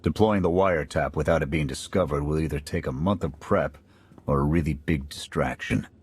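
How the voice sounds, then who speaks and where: A seasoned special forces major, his voice deep and husky. He briefs his team in a clipped, businesslike military manner, every line sounding like an order from someone used to leading troops in a video game war. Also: a little fast, heavily acted. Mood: briefing. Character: steady male special forces major, seasoned field leader. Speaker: military squad commander. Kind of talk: video game cutscene acting.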